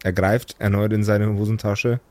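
A man's voice: fairly low pitch, little pitch movement, normal speed, a little mellow, no room sound. A man improvising narration as the game master of a pen-and-paper audio play.

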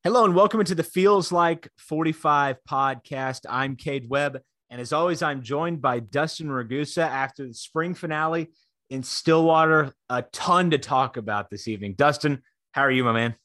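A man's voice: fairly high pitch, normal speed, sharp tone, no echo, clean studio sound. A young man hosting a casual sports podcast, laid-back and rambling, often restarting his sentences. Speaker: podcast host.

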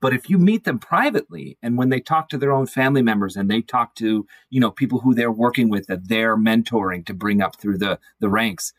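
A man speaking as a podcast guest, thoughtful and measured, building his points in speechlike lists. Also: on the low side, no room sound, poor audio. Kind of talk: thoughtful conversational discussion.

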